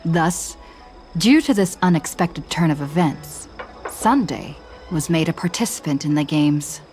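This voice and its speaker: A young female traveler from a game retells her journey. Her voice sits low, and she speaks in a measured, even storytelling manner. Soft music plays faintly underneath. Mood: calm. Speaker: story recap narrator. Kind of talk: scripted game story recap narration. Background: faint background music.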